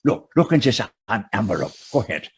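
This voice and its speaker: An actor playing a nervous, tender immigrant farmer in a radio western, with a husky voice and a halting, repeating way of pleading. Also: male voice, lowish voice, big pitch swings, full character voice. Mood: eager. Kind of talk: old-time radio western acting.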